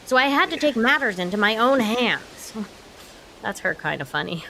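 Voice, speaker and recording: An actress playing an exasperated grown daughter with dry comebacks in a comedic audio play. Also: female voice, on the high side, very animated, moderate pace, pushed and bright, a little hiss or hum, exaggerated voice, poor audio. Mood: wry, softer.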